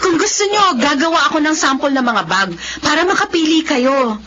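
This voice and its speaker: Animated Filipino mother. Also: sits high, normal speed. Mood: eager, polite. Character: caring, hardworking Filipino mother and wife. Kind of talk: Filipino radio drama acting. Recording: dull lo-fi audio, faint noise.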